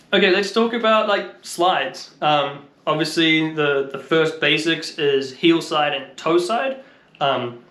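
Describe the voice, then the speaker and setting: A man's voice: fairly high pitch, fairly bright tone. A man giving a casual, unscripted explainer as an instructor, thinking aloud in an informal, slightly hesitant way. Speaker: longboarding instructor and video host. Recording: roomy, echoing sound.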